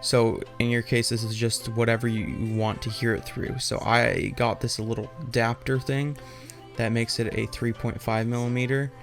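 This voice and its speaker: A man's voice: mid-range voice, level tone, moderate pace, vocal fry, faint music behind. A young adult man giving an informal, off-the-cuff tech tutorial, explaining loosely and rambling as he goes. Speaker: tutorial host.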